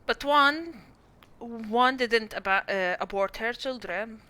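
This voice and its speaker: A woman's voice: a little high, slow pace, sharp tone. A woman streamer chatting casually and rambling over her gameplay, often correcting herself mid-sentence. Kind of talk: casual game stream commentary.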